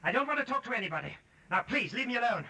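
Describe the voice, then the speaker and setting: An actor playing a proud young ballet dancer, curt and defensive, in a 1940s radio drama. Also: male voice, very high voice, very animated, rapid-fire, heavily acted. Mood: agitated.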